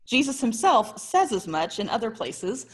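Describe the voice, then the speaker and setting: An American woman in her thirties giving a prepared but conversational sermon as a pastor, with expressive, articulate storytelling. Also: a little high, moderate pace, husky. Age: 30s. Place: small indoor room.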